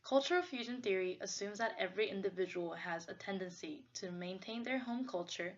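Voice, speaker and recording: Young woman, Korean first language, earnest, reflective, prepared reading, medium pitch, dull lo-fi sound. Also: fairly quick. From South Korea. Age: young adult. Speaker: student presenter. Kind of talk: reading a prepared personal essay. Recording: dull lo-fi recording.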